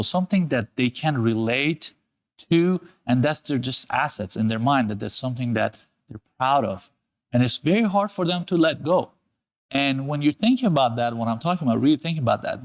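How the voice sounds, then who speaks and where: Emphatic man coaching. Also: medium pitch. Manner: earnest, repetitive, emphatic with rising bursts. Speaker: host and self-development coach. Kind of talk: motivational talk. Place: studio.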